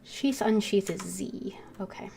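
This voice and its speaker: A woman's voice: mid-range voice, a little slow, gravelly, warm tone. A woman streaming a game, giving casual commentary in short remarks muttered to herself. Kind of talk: casual live gameplay commentary. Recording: faint keyboard noise behind.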